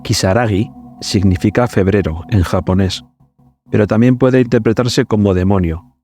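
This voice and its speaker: A man narrating a script in a measured, explanatory, documentary-like way. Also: lowish voice, mellow.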